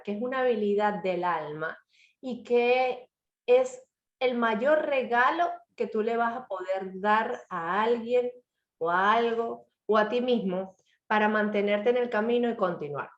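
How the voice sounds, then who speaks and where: A woman speaking, her throat a little hoarse, shares a personal story in a reflective motivational talk. She checks in often with her listeners. The sound is dull and lo-fi, with a roomy echo around her. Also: medium pitch. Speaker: author and speaker.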